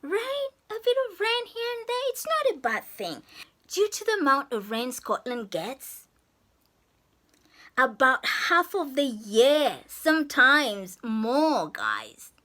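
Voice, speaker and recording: A woman's voice: high-pitched, sing-song, takes it slowly, pushed and bright. A woman from Zambia chatting effusively to camera in a vlog, laughing often. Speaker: vlogger. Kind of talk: casual vlog talk to camera.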